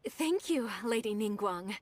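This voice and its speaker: An efficient young female secretary from a game. Her delivery is very animated, swinging up and down in pitch. She is formal, articulate and businesslike, with an eager, deferential manner toward her employer. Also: a little slow, a little hiss or hum. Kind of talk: scripted game dialogue.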